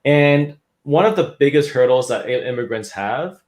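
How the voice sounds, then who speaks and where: A man's voice: medium pitch, lively intonation, moderate pace, darkish tone. A man from Canada, a guest expert explaining career change in an interview. He talks things through with restarts and frequent tag questions. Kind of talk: interview talk.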